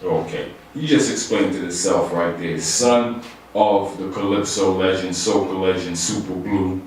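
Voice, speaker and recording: A male radio host with a very deep voice leads an interview in the studio. He talks in a relaxed, conversational manner, with a few fillers. He is heard from off the mic in a strongly echoing room, with faint noise underneath. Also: a little slow, recorded from a distance.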